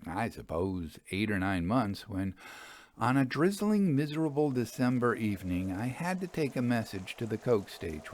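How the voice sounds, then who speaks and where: A man reads an old-fashioned ghost story as a dramatic narrator. His pace is slow and his pitch moves widely, building suspense phrase by phrase. The recording carries a faint background noise. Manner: measured, suspenseful, expressive phrasing. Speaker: storyteller reading fiction aloud.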